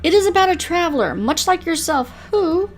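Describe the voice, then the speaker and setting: High-pitched, courteous mysterious librarian played by a woman. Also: big pitch swings, moderate pace, soft background music. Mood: wistful, earnest. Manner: formal, polite, wistful phrasing. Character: mysterious, courteous female keeper of an otherworldly library. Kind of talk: visual novel character read aloud.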